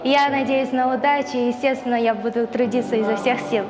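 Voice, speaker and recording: A woman's voice: on the high side, fairly even intonation, brisk. An eighteen-year-old woman from Kyrgyzstan introducing herself as a talent show contestant. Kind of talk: self-introduction and audition. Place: talent show audition, indoors. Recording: long reverb, music in the mix.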